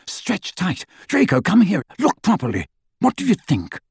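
A man's voice: sits high, huge pitch swings, a little slow, vocal fry, darkish tone, husky, dry recording, clean studio sound, pitched above the performer's own voice, heavily acted. A man voicing an eager, impatient aristocratic man. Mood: avid.

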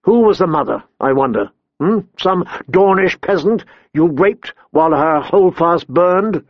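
A proud, cold queen who taunts and schemes, as a male audiobook reader puts her on. The voice sits a touch high for a man, and the sound is dry and a bit dull, with no room around it. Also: animated, a little slow, dry recording. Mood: scornful. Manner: scornful, taunting. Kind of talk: audiobook character voice.

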